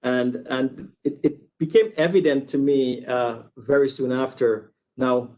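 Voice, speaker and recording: A man's voice: mid-range voice, measured pace, a little mellow, dull audio. Explanatory male academic lecturer from Guyana. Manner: explanatory, repeats words and digresses. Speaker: university researcher giving a webinar. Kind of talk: informal academic lecture with slides.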